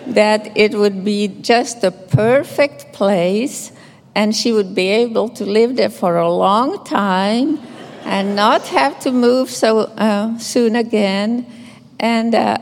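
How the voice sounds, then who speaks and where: Older finnish lady, slow gentle eulogy, a bit gravelly, echoey hall. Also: mid-range voice. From Finland. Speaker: nun giving a memorial tribute. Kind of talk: scripture reading and eulogy.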